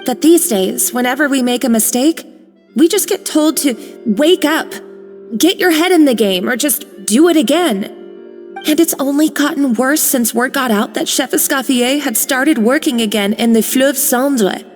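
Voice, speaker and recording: A loyal young kitchen worker from a video game, earnest and outspoken, as an actress plays her. Her voice sits high and sounds worried. A long reverb trails it with faint music underneath. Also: moderate pace, lightly acted.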